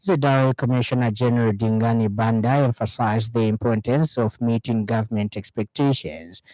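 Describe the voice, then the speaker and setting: A man with a Zambian accent reading a radio news bulletin in a formal, measured way as the presenter. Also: medium pitch, mellow, dry recording, rough recording.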